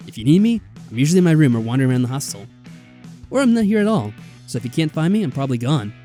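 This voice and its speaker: A man's voice: medium pitch, big pitch swings, rapid-fire, mellow, close to an everyday voice. A man voicing a friendly, offhand young male student in a visual novel game. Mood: relaxed, friendly. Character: easygoing, friendly young male scholar at a hostel. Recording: background music.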